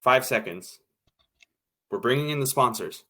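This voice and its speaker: A man's voice: medium pitch, animated, unhurried, pushed and bright. A man playing an impatient radio director in a 1940s-style drama, brusque, slangy and commanding. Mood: impatient, bossy.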